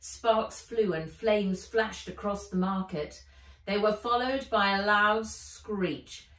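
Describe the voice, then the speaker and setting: A woman author reading her children's adventure book aloud with dramatic, deliberate storybook narration. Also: medium pitch, fairly bright tone. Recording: roomy echo, a little far from mic.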